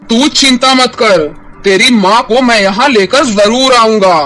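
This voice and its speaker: A man voicing a menacing, vengeful man-eating demon, threatening and deliberate, in a cartoon fairy tale. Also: very high voice, pushed and bright, soft background music, heavily acted. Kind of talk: animated fairy-tale cartoon villain acting.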